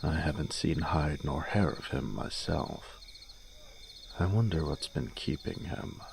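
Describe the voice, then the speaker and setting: A man performs an urbane young lord from a fantasy audio drama, teasing and archly formal. His deep voice moves slowly, with a creaky edge. Faint insect noise sits behind him. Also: expressive, heavily acted. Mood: polite, needling. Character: teasing, urbane young nobleman, elder brother in fantasy court.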